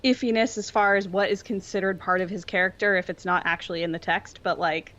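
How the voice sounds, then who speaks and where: Female podcast guest, mid-pitched, slightly lo-fi mic, loose conversational delivery full of "like". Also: fairly even intonation, fairly quick, a bit bright. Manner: informal, peppered with "like". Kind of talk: casual chat.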